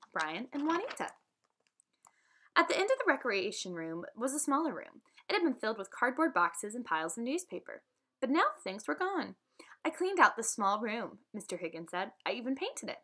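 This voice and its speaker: A woman teacher reading a children's book aloud to students, with lively, expressive delivery and voices for the dialogue. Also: fairly high pitch.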